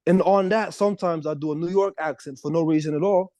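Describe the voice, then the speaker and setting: A man's voice: fairly high pitch, fast, a little mellow, no echo. A young American man chatting casually as a podcast co-host, rambling with lots of fillers. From United States. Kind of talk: casual chat.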